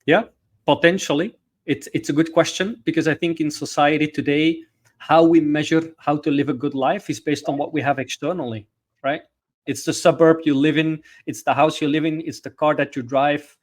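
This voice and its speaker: A man's voice: fairly high pitch, steady tone, moderate pace. A middle-aged man from Belgium, explaining his ideas as a podcast guest and coach. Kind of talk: explanatory interview talk.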